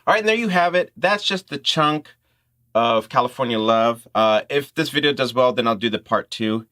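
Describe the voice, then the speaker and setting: A man's voice: medium pitch, lively intonation, moderate pace, bright, forceful tone. A man hosting an informal music tutorial, explaining things step by step in instructional talk.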